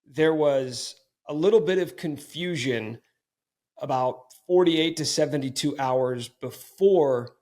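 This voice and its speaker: A man's voice: on the high side, takes it slowly, darkish tone. A man hosting a casual sports podcast, talking in a playful, teasing, mock-bossy way. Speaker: podcast host.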